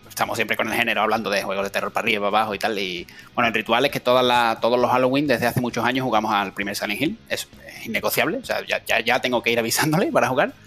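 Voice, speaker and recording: A man's voice: fairly low pitch, sharp tone. A man co-hosting a gaming podcast, speaking thoughtfully with frequent hesitations. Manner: hesitant, many restarts, thoughtful. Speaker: podcast co-host. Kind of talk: casual chat.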